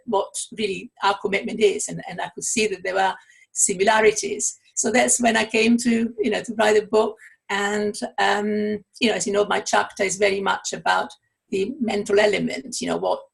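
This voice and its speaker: Italian-accented woman, gravelly and husky. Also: medium pitch, poor audio. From Italy. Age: middle-aged. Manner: thoughtful, with many fillers and restarts. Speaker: author and coach. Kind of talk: interview answers.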